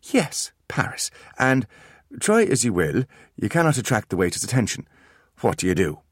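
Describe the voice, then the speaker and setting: A man's voice: on the low side, big pitch swings, moderate pace, slightly rough, dry recording, studio quality, voice pushed up. A man doing a precise, lecturing teenage genius. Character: clever teenage boy, fussy genius big brother.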